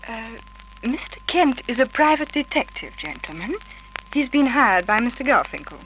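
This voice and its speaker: An actress playing a pert, teasing young secretary, polite and playful, in an old radio drama. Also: female voice, a little high, sing-song, normal speed, a bit bright, poor audio. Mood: businesslike. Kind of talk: 1950s radio drama acting. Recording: old radio recording, faint noise.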